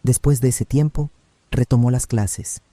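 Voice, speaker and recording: Very dark-voiced male story narrator. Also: medium pitch, steady tone, unhurried, no room sound. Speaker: narrator of a horror story channel. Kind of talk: scripted first-person story narration.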